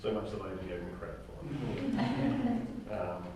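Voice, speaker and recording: A man's voice: lowish voice, huge pitch swings, moderate pace. A middle-aged man informally addressing a group, with jokey, self-deprecating asides. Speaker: director and co-writer introducing a table read. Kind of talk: informal spoken introduction to a group. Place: indoor room with group seated on chairs. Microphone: distant microphone. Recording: echoey room from far off, loud background noise.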